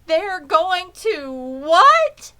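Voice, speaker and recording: Woman acting a shrill, panicky little boy pig. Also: sing-song, drawn-out words, pushed and bright, heavily acted. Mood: horrified. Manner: wailing, pleading, melodramatic. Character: young male pig, naive, panicky and tearful. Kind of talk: children's storybook character reading.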